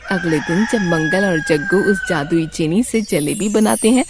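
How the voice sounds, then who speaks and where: A woman's voice: on the low side, moderate pace, over music. A woman narrator reading a scripted moral story in a storytelling style.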